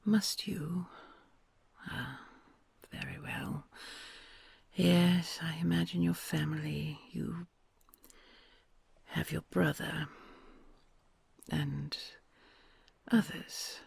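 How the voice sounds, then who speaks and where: A woman's voice: low voice, fairly even intonation, dry recording, full character voice. A woman voicing a kind, solemn and lonely female dragon queen in an audio roleplay, whispering in formal, courtly phrasing. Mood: tender whisper. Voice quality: whispery, gravelly. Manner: formal, courtly old-fashioned phrasing, soft and slow.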